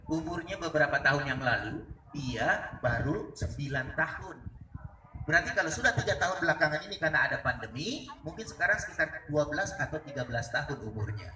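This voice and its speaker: A man's voice: a little high, lively intonation, brisk, sharp tone, slight background noise. A man telling a story aloud to listeners in a conversational, dramatic manner, asking rhetorical questions. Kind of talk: animated oral storytelling to live listeners. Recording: echoey room, distant mic.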